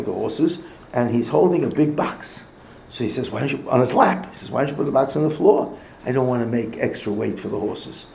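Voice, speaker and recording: Gravelly man giving a Torah lecture. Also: mid-range voice, reverberant, slight background noise, rough recording. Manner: conversational, animated storytelling with Yiddish-Hebrew terms. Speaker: Torah teacher.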